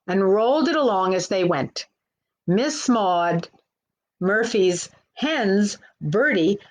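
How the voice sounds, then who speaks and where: A woman reading a picture book aloud as a children's librarian, pausing to point out the pictures. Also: mid-range voice. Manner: unhurried, pauses to point out pictures. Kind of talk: picture book storytime narration.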